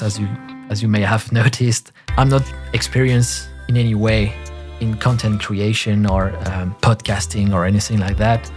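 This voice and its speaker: Podcast host with a thick French accent. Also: male voice, medium pitch. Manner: relaxed, halting, frequent restarts and "you know". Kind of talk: solo podcast monologue.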